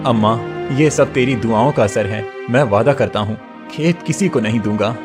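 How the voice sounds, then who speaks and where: A man's voice: mid-range voice, normal speed, lightly acted. Cartoon young farmer, voiced by a man, earnest, defiant, music bed behind. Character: determined young farmer son. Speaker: voice actor.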